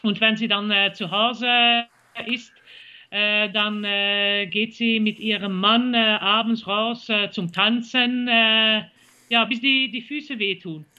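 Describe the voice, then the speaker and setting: A woman with a Belgian accent gives halting interview answers, stopping on fillers as she reaches for her words. Her voice is sharp and bright. The sound comes through dull and lo-fi, like a call line. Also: medium pitch, steady tone, smooth. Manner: halting, searches for German words with many fillers. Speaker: country singer, interview guest.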